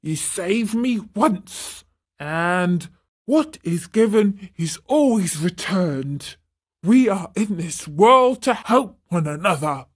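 A woman voicing a large, loyal male dog in a storybook reading, speaking calmly and steadily in a lowered voice. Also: slow, slightly rough, exaggerated voice. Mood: calm, kindly.

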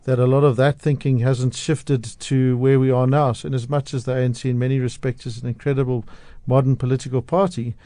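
A man's voice: mid-range voice, level tone, brisk, few pauses, dark tone, no echo. A man from South Africa speaking as a guest on a radio show, outspoken and passionate, often restarting his sentences. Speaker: radio guest, media analyst. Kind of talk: radio interview. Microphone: studio microphone.

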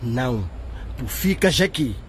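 A man's voice: mid-range voice, very animated, unhurried, a little hiss or hum. An actor playing a controlling, abusive young man in a radio drama, bullying and commanding. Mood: threatening, angry. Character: domineering, controlling, abusive young male partner.

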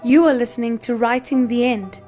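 A woman's voice: fairly high pitch, steady tone, brisk, dark tone. A woman presenting a scripted introduction to a podcast series. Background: music.

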